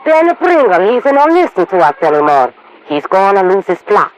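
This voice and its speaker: Woman playing a blunt Italian farm wife, animated. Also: mid-range voice, moderate pace, a little hiss or hum, lightly acted, rough recording. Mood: blunt, grumbling. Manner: broken English, emphatic and blunt. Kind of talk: 1950s American radio drama acting. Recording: old radio broadcast recording.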